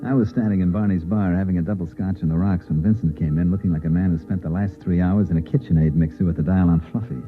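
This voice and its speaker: A man playing a wry, deadpan hardboiled narrator at a bar in an old-time radio drama. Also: low voice, fast talker, few pauses, close to an everyday voice. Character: wry hardboiled barfly narrator, quietly in on a secret. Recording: phone-like old radio recording, music behind.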